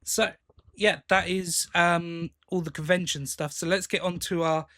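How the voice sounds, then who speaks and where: A man's voice: high voice, steady tone, a little slow, bright, forceful tone. A man co-hosting a podcast, chatting casually about news. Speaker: podcast co-host. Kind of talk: casual chat about sci-fi audio drama news.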